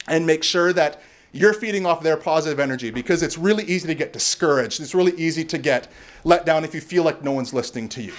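A middle-aged man from Canada giving a loud, gregarious live talk to a crowd in a bar, joking and swearing as he goes. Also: a little high. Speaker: conference speaker. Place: bar venue before live audience.

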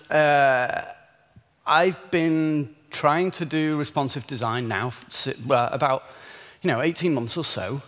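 Chatty man with a softened northern English accent. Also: on the high side. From England. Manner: chatty, informal, with frequent restarts. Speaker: conference speaker. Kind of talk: conference talk. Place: large conference hall. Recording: echoey conference hall.

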